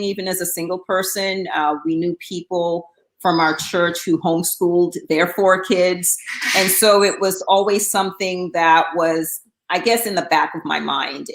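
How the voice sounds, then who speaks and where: A woman's voice: on the low side, roomy sound. A middle-aged woman from Guyana, talking casually as an interview guest, with frequent fillers and restarts. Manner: chatty, with many um fillers and restarts.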